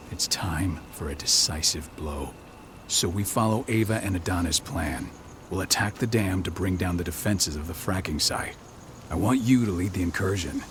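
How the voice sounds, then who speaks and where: Man as a deep-voiced, commanding pack leader. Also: background noise, natural voice. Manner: measured, commanding. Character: calm, authoritative male pack leader. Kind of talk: video game cutscene acting.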